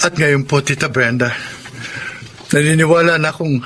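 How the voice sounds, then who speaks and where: An actor playing an excitable young Filipino cousin and office worker in a radio drama, with a reactive, animated delivery. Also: male voice, on the high side, normal speed, slight background noise. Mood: sober, convinced. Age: young adult.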